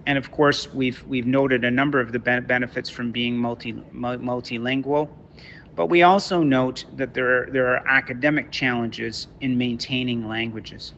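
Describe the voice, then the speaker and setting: Bright-voiced French-Canadian man lecturing. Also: mid-range voice, fairly even intonation, lo-fi sound, slight background noise. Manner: halting, many restarts and repeated words. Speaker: teacher. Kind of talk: online lecture to parents. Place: Toronto.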